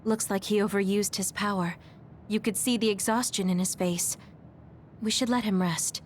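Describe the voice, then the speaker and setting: A woman's voice: mid-range voice, steady tone, moderate pace, a little hiss or hum, natural voice. An actress voicing a serious, watchful young woman with a composed delivery in an anime game. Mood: concerned. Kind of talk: English anime game voice acting.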